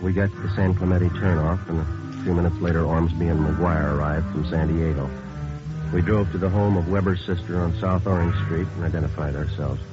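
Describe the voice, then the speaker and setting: A man acting as a police detective with a low, deadpan voice. He narrates in clipped, businesslike phrases, as in a 1950s radio crime drama. The old recording is muffled, with music behind. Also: a little fast, lightly acted. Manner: deadpan, matter-of-fact. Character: terse, businesslike male police detective sergeant narrating his case.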